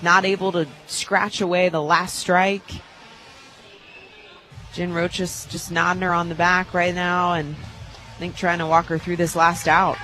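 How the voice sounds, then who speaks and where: A woman giving live radio analysis of a softball game as the color commentator. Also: on the low side, low-quality recording. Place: outdoor softball stadium radio booth.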